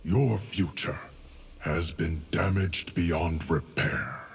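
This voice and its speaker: Game guardian, ancient and grave: very low gravelly voice, formal, measured. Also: male voice, exaggerated voice. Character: solemn, ancient male guardian of the timeline. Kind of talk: video game character dialogue.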